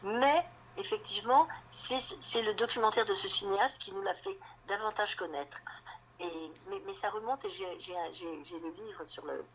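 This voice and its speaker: A woman novelist being interviewed on radio, talking in a halting way and often repeating words while she looks for them. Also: medium pitch, a little hiss or hum, rough recording. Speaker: novelist guest.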